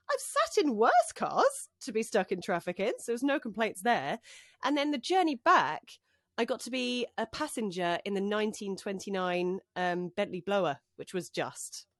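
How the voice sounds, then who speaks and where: Woman, mid pitch, chatty, self-deprecating, condenser mic, dry home booth. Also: very animated, normal speed, dry recording. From North London, England. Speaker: podcast guest, broadcaster. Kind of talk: casual podcast chat. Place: home wardrobe voice booth, door open. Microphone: studio condenser mic.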